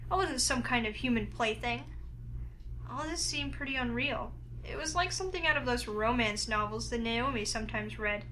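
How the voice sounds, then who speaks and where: High, bright young heroine, voiced by a woman. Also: slight background noise, rough recording. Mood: wary, pensive. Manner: expressive, flustered. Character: young woman heroine, easily flustered, wary, inwardly sarcastic. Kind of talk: first-person visual novel narration and dialogue.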